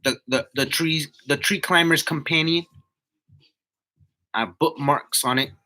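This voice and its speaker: A man's voice: a little high, steady tone, measured pace, pushed and bright. A man in his twenties chatting casually to live viewers in a slangy, motivational way, repeating himself for emphasis. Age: 20s. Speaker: livestream host, tree climber.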